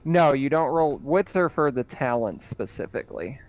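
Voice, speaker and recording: A man running a tabletop game as game master, relaxed and often laughing as he explains rules. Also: mid-range voice, normal speed.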